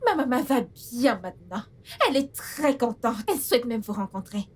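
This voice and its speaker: An actress playing a humble, earnest young household employee and devoted daughter in a melodramatic film. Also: female voice, on the high side, lively intonation, normal speed. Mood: warm, respectful. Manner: earnest, effusively grateful. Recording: music under the voice.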